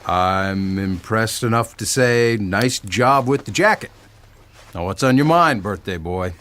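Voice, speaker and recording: A man's voice: fairly low pitch, lively intonation, a little slow, fairly bright tone. An actor playing a cautious but supportive father in an audio drama, wry and a little hesitant. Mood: impressed, wry. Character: cautious father of a young boy, grudgingly supportive.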